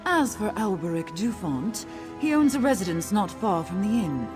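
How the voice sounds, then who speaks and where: An actress playing a snobbish, haughty woman who organizes an elite event in a video game, speaking in a sarcastic, condescending, brisk way. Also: mid-range voice, big pitch swings, over music, natural voice.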